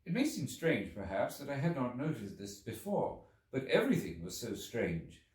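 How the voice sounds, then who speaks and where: A man reading a literary story aloud as an audiobook narrator, with an expressive, measured, theatrical delivery and deliberate pauses. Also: mid-range voice. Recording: roomy echo, distant from microphone.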